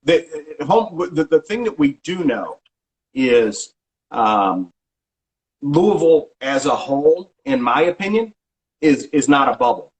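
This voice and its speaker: A middle-aged man working as a real estate agent, answering interview questions in a casual, halting way with frequent restarts and filler sounds. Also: a little high, very animated, rough recording. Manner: halting, many restarts and ums.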